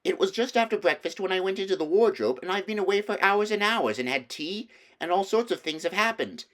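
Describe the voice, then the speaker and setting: A man voicing an earnest, excitable young girl in a children's storybook, pleading and emphatic. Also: sits high, brisk, voice pushed up. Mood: breathless, amazed. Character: earnest, excitable young girl insisting she tells the truth.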